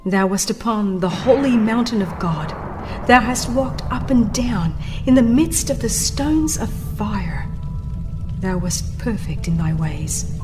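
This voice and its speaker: A woman reads a religious audiobook in a solemn, reverent way. Her pace is slow and measured, with dramatic emphasis on key phrases. Her pitch sits in the middle range for a woman, and faint music plays beneath her narration.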